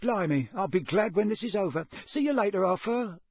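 A man's voice: high voice, expressive, fairly quick, slightly rough, dry recording, clean studio sound, voice pushed up. A man voicing an exhausted male ministry wizard who mutters weary complaints.

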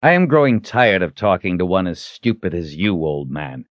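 A man's voice: low-pitched, big pitch swings, normal speed, no echo, lo-fi sound. A man voicing a cold, defiant fantasy hero in an audiobook, taunting and intense. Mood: cold menace. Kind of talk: dramatic audiobook character acting.

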